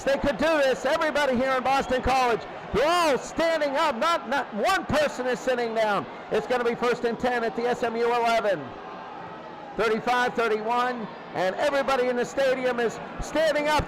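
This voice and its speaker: High-pitched man calling football, noisy recording. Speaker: play-by-play sports announcer. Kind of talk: live radio-style football commentary.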